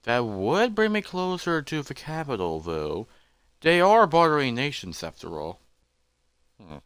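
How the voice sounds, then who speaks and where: A man's voice: a little high, sing-song, measured pace, fairly bright tone, smooth, no echo, voice pushed up. A man voicing a massive, curious explorer creature in a fantasy roleplay, hesitant and thoughtful as he talks to himself. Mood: musing, curious. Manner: musing, hesitant, talking to himself.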